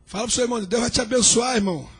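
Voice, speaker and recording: A middle-aged male pastor preaching a fiery sermon in a church, shouting and chanting in a sing-song way as he calls on the congregation. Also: very high-pitched, rapid-fire, husky.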